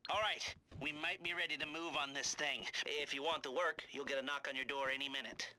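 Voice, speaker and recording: An actor playing an awkward, neurotic middle-aged criminal heist planner in a video game, with an American accent and a halting, nervous, wry delivery. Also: male voice, a little high, fairly even intonation, bright, forceful tone. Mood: businesslike. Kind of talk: video game cutscene acting.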